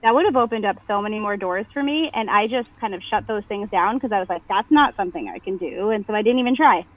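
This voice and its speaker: A woman's voice: medium pitch, lively intonation, fast talker. A woman in her thirties from New Jersey with a New Jersey accent, a nasal voice and a chatty manner full of fillers, talking as a guest in an interview. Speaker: podcast guest. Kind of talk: casual interview chat. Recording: phone-like narrow band.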